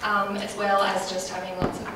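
A woman's voice: mid-range voice, monotone, moderate pace. An Australian woman, around her thirties, giving a spoken welcome as host to a live audience. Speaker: event host, music industry executive. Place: indoor event room with large windows. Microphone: handheld microphone through a PA. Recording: echoey room, distant sound, clear background noise.

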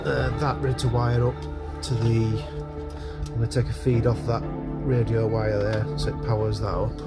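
A man's voice: medium pitch, little pitch movement, moderate pace, over loud music. A man giving casual, hesitant vlog commentary, thinking aloud as he works inside a car. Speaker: vlogger showing a DIY vehicle project.

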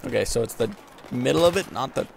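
A man's voice: mid-range voice, lively intonation, quick pace, gravelly. A young American man giving casual, slangy gameplay commentary, exclaiming and muttering to himself as he plays. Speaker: gamer recording a playthrough. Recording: gunfire and game noise behind voice. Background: video game gunfire and explosions.

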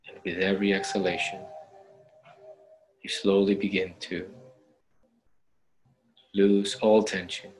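A man guides a meditation in a fairly low voice. He gives gentle instructions one step at a time and leaves unhurried pauses between them. There is some ambient noise in the recording. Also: flat delivery, low-quality recording.

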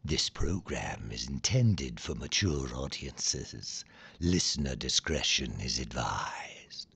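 Extremely deep, sinister horror announcer. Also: male voice, animated, fairly bright tone, full character voice. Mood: ominous. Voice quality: deep gravelly. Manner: slow and ominous. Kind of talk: horror show intro announcement. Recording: muffled, heavy background noise.

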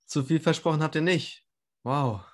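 A man's voice: on the high side, normal speed. A man playing a tabletop roleplaying game, speaking in character in a hesitant, questioning manner. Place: indoor home, video call.

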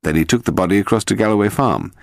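Man playing a calm, wry gentleman detective, low-voiced. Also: quick pace, creaky, no room sound, studio quality. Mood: explaining.

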